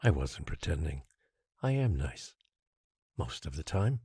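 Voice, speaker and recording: An actor playing a patient, controlling guardian who secretly rules an island, speaking in a measured, quietly menacing way in an audio drama. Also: male voice, rumbling low, very animated, husky, no room sound, clean studio sound, full character voice. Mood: calm, controlling.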